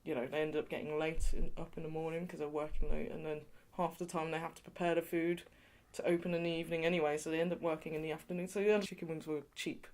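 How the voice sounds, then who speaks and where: A woman's voice: low-pitched, little pitch movement, quick pace, few pauses, darkish tone, poor audio. A woman from England being interviewed, talking in a chatty way with lots of "you know" and light laughs. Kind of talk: oral history interview.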